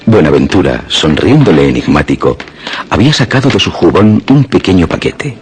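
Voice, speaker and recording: A man narrating a scripted suspense radio drama in a measured, dramatic way. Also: sits low, big pitch swings.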